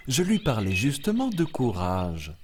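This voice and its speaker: Kindly, animated cartoon animal mentor. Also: male voice, medium pitch, unhurried, noisy background. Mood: reassuring. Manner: patient, encouraging, storytelling. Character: kindly, reassuring adult male jungle animal, wise storyteller. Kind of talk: children's cartoon voice acting.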